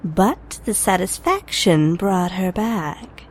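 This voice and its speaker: A woman's voice: on the low side, expressive, takes it slowly. A woman playing a sly, seductive, coolly self-serving cat burglar in an audio drama, teasing and guarded. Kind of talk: fan audio drama acting. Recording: faint ambient noise behind voice.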